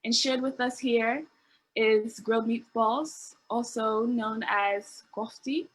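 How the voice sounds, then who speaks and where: A woman with a fairly high, husky voice hosts an event. She reads prepared remarks aloud in an earnest way, sometimes tripping over unfamiliar words. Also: fairly even intonation, takes it slowly, poor audio. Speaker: event host reading out testimonials.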